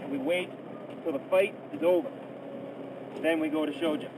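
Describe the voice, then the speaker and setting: A soldier explaining a plan to someone in short, simplified phrases. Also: male voice, fairly high pitch, fairly even intonation, distant mic, background noise. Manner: slow, broken simple phrasing.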